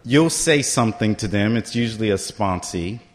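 Middle-aged man, lowish voice, casual storyteller speaking to a meeting, conversational and direct. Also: expressive, normal speed. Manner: conversational, keeps asking "right?" to the room. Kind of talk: personal story told to a meeting.

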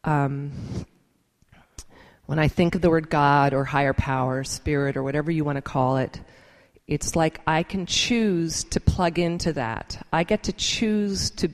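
A woman's voice: low-pitched, unhurried. A woman giving an earnest, informal personal testimony to a gathering, thinking aloud as she speaks in a large reverberant room. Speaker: speaker at a recovery meeting. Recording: reverberant hall.

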